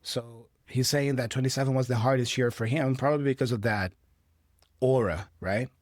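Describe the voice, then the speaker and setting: A man's voice: medium pitch, moderate pace, a little mellow, no room sound, studio quality. A man with a Brazilian Portuguese accent, a native Portuguese speaker, patiently explaining English phrases from a clip for learners and checking in often. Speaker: English teacher.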